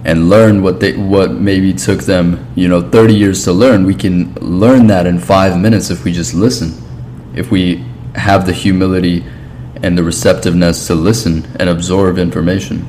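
Deep-voiced man hosting a casual podcast. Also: steady tone, normal speed, darkish tone, a little hiss or hum. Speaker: podcast host, interviewer. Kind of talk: casual, reflective interview talk.

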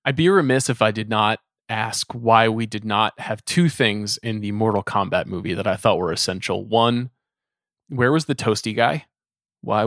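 A man's voice: on the low side, fairly bright tone, dry recording, studio quality. A man hosting a podcast, chatting casually about films and putting questions to his guests. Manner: conversational, asks the guests questions. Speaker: podcast host. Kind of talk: casual film discussion.

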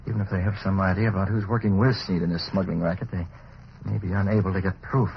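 A man's voice: sits low, level tone, fast, gravelly. A man acting in a 1940s radio drama as a decisive newspaper boss with a secret vigilante life, clipped and commanding. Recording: dull, noisy old radio recording.

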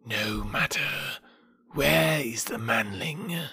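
A man voicing a huge old python, coolly menacing, who speaks in a slow, drawn-out hissing whisper for a storybook. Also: medium pitch, creaky, bright, forceful tone, husky, no room sound, full character voice. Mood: cool, commanding. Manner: slow, drawn-out, deliberate speech.

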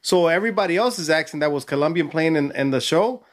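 A woman's voice: low voice, animated, fast talker. A woman co-hosting a casual group chat, slangy and slipping between English and Spanish. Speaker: podcast co-host.

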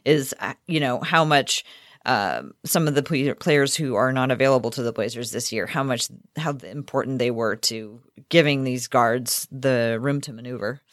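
A woman's voice: rumbling low, moderate pace, dry recording, studio quality. A woman co-hosting a sports podcast in a casual conversational style.

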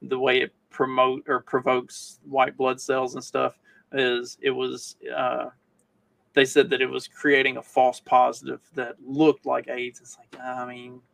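Man, mid-range pitch, creaky gravelly voice, podcast co-host, casual conversation. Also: completely flat delivery, unhurried, a bit bright. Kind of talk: casual live podcast chat.

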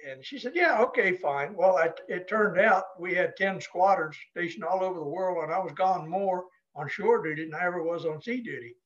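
A man's voice: high voice, moderate pace, hardly any pauses, fairly bright tone, dull audio. An older man from New Hampshire, a retired Navy leader, telling stories casually as an interview guest in a folksy, plain-spoken way. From New Hampshire, United States. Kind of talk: casual storytelling.

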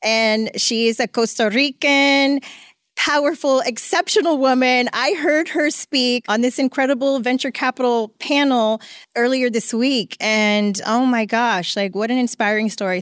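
Effusive woman host, bright higher voice. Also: dry recording, clean studio sound. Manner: gushing, effusive. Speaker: podcast host. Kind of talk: enthusiastic interview host.

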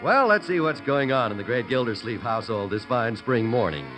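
A man's voice: mid-range voice, lively intonation, a little fast, poor audio. An American man announcing a 1940s radio show, polished and emphatic as he sells the sponsor. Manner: polished, emphatic selling delivery. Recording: vintage radio recording with music.

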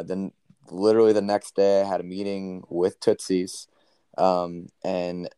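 A young man, a country singer, telling a story in a relaxed interview with many fillers; he has a low baritone voice. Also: smooth, no echo.

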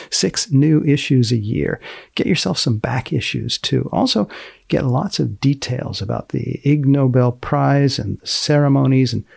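A man hosting a podcast, reading a scripted outro in a deliberate, playfully emphatic manner. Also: mid-range voice, vocal fry, a little mellow, breathy edge, dry recording, studio quality. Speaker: podcast host.

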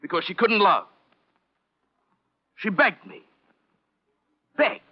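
An actor playing an intense, goading, obsessive murderer in an old-time radio crime drama. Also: male voice, sits high, sing-song, slow pace, breathy edge, heavily acted. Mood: anguished, obsessive. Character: menacing, unhinged murderer confessing his crime. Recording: muffled vintage radio recording.

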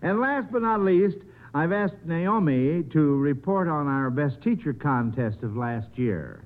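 Lively older American radio host, high-pitched. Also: male voice, unhurried. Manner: folksy, avuncular, chatty with children. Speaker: radio quiz show host. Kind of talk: 1950s radio hosting. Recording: muffled vintage 1950 radio recording.

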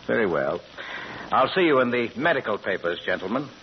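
An actor playing a genial, evasive, educated professor among crooks, courteous and precise, in old-time radio drama. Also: male voice, medium pitch, very animated, moderate pace. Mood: polite, cheerful. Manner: courteous, hesitant, chuckling, precise diction. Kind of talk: 1930s-40s radio drama acting. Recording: dull, lightly noisy old radio recording.

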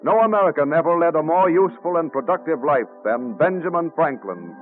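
A man narrates in a formal, stately old-time radio style. His voice sits a little high. Music plays under a thin, tinny recording. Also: expressive, fairly quick. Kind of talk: 1940s radio scripted narration.

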